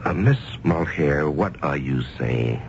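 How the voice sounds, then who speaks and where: An actor playing a stern, formal company boss who gives orders curtly, in a 1970s radio drama. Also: male voice, extremely low pitch, lively intonation, slow, vocal fry, darkish tone, full character voice. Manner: curt, commanding, formal. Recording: lo-fi vintage radio recording, faint hiss.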